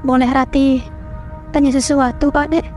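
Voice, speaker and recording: Anxious young wife in a horror cartoon, high and polite, faint music behind, voiced by a woman. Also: flat delivery, normal speed, darkish tone, lightly acted. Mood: worried.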